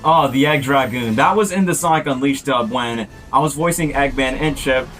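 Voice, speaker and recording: A man hosting a casual reaction commentary in an excitable manner, as an amateur voice actor. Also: mid-range voice, moderate pace, soft background music, rough recording. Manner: excitable, breaks into quoted character lines.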